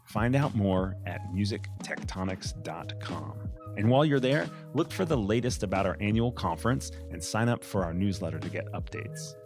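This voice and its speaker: A man's voice: lowish voice, animated, moderate pace. A male podcast host reading a scripted outro in an upbeat, friendly way. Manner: upbeat, friendly promotional read. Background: music bed.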